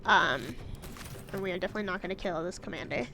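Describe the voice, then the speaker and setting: A woman's voice: on the low side, quick pace. A woman giving casual let's-play commentary, chatty, exclaiming at setbacks and making wry, self-mocking asides. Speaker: gamer. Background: game music.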